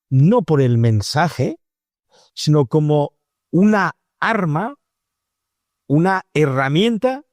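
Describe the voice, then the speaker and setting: A man's voice: mid-range voice, darkish tone, no room sound, studio quality. A man with a Peninsular Spanish accent interviewing conversationally, searching for words and often checking for agreement.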